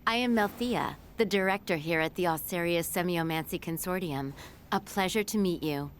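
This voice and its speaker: Actress as poised, formal fantasy institute director. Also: female voice, lowish voice, a little hiss or hum, close to an everyday voice. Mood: courteous. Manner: polite, measured, formal. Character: poised, courteous female director of a magic institute. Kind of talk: video game voice acting.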